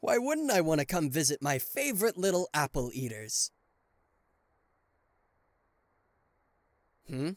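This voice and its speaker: A man playing a cocky, playful and easily flustered king of hell as an affectionate boyfriend in an ASMR roleplay, with theatrical teasing pauses and stammers. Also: fairly high pitch, big pitch swings, no room sound, clean studio sound. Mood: teasing, coy. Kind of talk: ASMR roleplay addressed to the listener.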